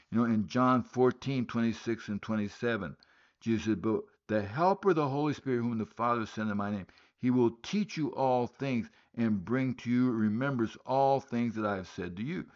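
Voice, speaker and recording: A man from West Africa preaches in earnest, telling a story and pausing on rhetorical questions. His voice sits at an ordinary middle pitch for a man. The recording is muffled and lo-fi, as if the top end has been shaved off. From West Africa, born in Liberia, raised in Guinea. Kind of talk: sermon.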